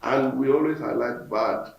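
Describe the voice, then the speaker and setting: A man whose first language is Yoruba, an actor speaking as an interview guest, animated and emphatic, often repeating himself for weight. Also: medium pitch, moderate pace, gravelly, roomy sound, a little hiss or hum, poor audio. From Nigeria. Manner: animated storyteller, emphatic repetition. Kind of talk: relaxed interview conversation.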